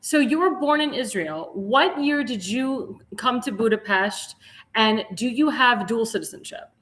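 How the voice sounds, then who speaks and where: Bright-voiced woman hosting a talk show. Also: a little high, expressive, measured pace. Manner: reads news items aloud, emphatic.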